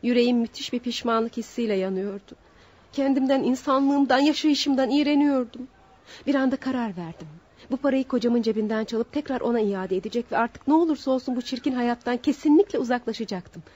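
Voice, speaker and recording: A high, husky-voiced woman on trial played by an actress, defiant and full of remorse. She speaks in dramatic, emphatic radio theatre style on a muffled old recording. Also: a little fast, darkish tone, close to an everyday voice. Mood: remorseful, confessing. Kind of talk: Turkish radio theatre acting.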